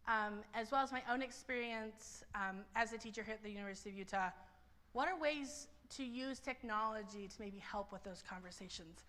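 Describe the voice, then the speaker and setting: A woman in her thirties, a university writing instructor, giving a chatty talk full of "um"s and story asides, speaking loudly into a clip-on mic. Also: on the high side. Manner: loud, chatty storyteller with many ums. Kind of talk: conference presentation with anecdotes. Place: library presentation room. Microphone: clip-on mic on her skirt.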